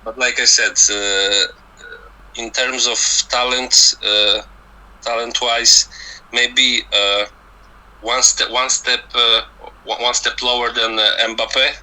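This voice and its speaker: A man's voice: mid-range voice, steady tone, slow pace, pushed and bright, rough recording. A man with a Polish accent, a native Polish speaker, giving casual football analysis as a scouting guest and often stammering over repeated words. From Poland.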